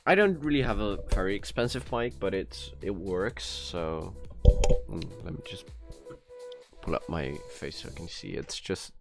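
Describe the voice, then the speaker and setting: Slow-talking Dutch man streaming a game. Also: low-pitched, lively intonation, gravelly. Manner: rambling, many fillers and restarts. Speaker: gaming streamer. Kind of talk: casual live-stream chat while playing. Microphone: cheap USB microphone. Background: soft background music.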